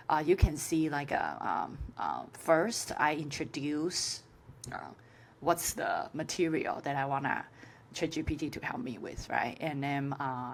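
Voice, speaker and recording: Low-voiced woman with a Chinese accent, teaching. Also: slight background noise. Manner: halting, hesitant phrasing with frequent filler sounds. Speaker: course instructor. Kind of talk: informal screen-share tutorial.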